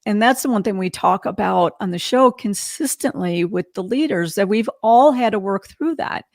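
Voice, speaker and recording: A woman's voice: mid-range voice, expressive, normal speed, no room sound, clean studio sound. A woman podcast host talking casually and reflectively, in a candid, emphatic way. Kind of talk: casual reflective interview talk.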